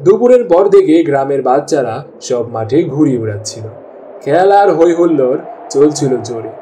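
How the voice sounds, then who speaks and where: A man's voice: fairly high pitch, dark tone, lightly acted. A man narrating a scripted children's cartoon story. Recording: distant, muffled mic with faint noise.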